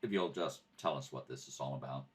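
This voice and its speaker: A man playing a terse, stoic police detective with a deadpan, clipped, polite delivery in a radio crime drama. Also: a bass voice, fairly quick, pitched below the performer's own voice. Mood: dry, patient.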